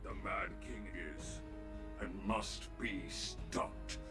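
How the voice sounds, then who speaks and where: Solemn sage, inverted phrasing, rough gravelly tone, very slow delivery, lowish voice. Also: male voice, fairly even intonation. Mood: stern. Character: solemn sage man speaking in inverted word order. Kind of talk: video game cutscene acting. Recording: game audio with music behind.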